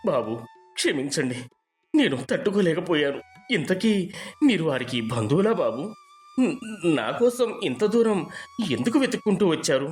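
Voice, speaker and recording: A man's voice: on the high side, sing-song, normal speed, long pauses, soft background music. A man playing an honest, humble poor gardener in a moral story, earnest and deferential. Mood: tearful, reluctant. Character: humble, honest poor male gardener, tearful, devoted husband. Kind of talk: dramatised moral storybook dialogue.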